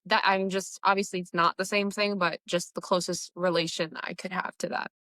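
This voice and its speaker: A young woman hosting a podcast who talks in an animated, candid way. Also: on the low side, normal speed, no echo, studio quality. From Palestinian background, raised in the US. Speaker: podcast host. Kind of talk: casual conversation.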